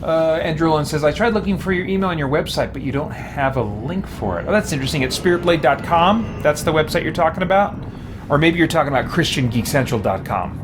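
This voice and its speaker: A man's voice: on the high side, lively intonation, fast talker. Casual male streamer over noisy background. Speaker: game streamer and host. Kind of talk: casual livestream chat with game commentary.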